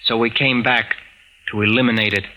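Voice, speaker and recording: Solemn time traveler, very forceful voice. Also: male voice, mid-range voice, level tone. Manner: deliberate, formal, uncontracted phrasing. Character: solemn, grave male time traveler from the future. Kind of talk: 1940s radio drama acting. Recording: noisy vintage radio recording.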